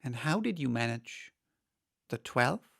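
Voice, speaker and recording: A man's voice: mid-range voice, big pitch swings, takes it slowly, creaky, fairly bright tone, no room sound, studio quality, heavily acted. Man reading as a polite, curious storybook girl. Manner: plain, questioning, polite delivery. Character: polite, curious young girl Alice, timid yet indignant.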